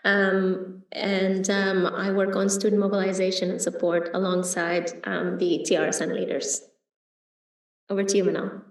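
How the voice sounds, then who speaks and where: A woman's voice: mid-range voice, little pitch movement, moderate pace. A woman from Iraq who works in an education team, speaking hesitantly with many ums. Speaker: education staff member. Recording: echoey, distant call audio.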